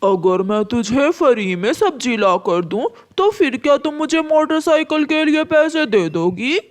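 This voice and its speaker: Woman voicing a sly, slow-talking male crow. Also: high voice, big pitch swings. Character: lazy, sly, boastful male crow husband and thief.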